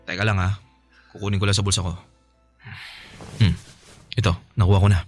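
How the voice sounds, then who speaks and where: A man's voice: sits low, flat delivery, a little fast, faint music behind, lightly acted. A man acting a jobless, wheedling boyfriend in a radio drama, pleading and defensive. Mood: coaxing, earnest. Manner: pleading, coaxing. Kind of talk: radio drama acting in Filipino love story.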